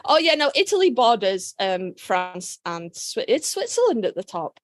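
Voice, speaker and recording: A woman hosting a podcast in casual, jokey chat, swearing freely and rambling excitably. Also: mid-range voice, normal speed, dry recording, clean studio sound. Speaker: podcast host.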